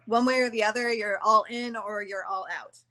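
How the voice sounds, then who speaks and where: A woman's voice: mid-range voice, fairly even intonation, normal speed, pushed and bright. A woman hosting a podcast, a trauma practitioner explaining her views in an earnest, explanatory way. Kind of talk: conversational podcast interview.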